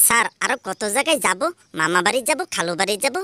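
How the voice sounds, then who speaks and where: A woman's voice: mid-range voice, animated, fast talker, pushed and bright. A woman voicing a greedy, chatty cartoon schoolboy who rattles off long lists. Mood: eager.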